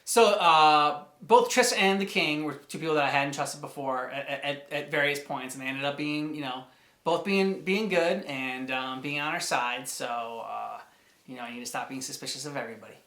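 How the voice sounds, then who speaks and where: A man co-hosting a casual reaction show, chatting about game cutscenes in a halting, rambling way as he thinks aloud. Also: on the high side, sharp tone. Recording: echoey room.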